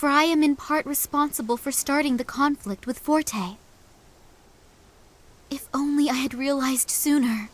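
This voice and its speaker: An actress playing a young princess in a game cutscene, speaking softly and sincerely. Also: female voice, high-pitched, steady tone, unhurried. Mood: determined. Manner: soft, sincere. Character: young princess, gentle and determined.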